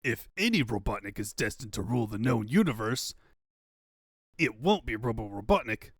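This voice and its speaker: A man voicing a pompous, jealous evil-doctor villain in a comic fandub, loud and blustering. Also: mid-range voice, sing-song, normal speed, no echo, clean studio sound. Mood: indignant. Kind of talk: comic-book fandub cartoon villain acting.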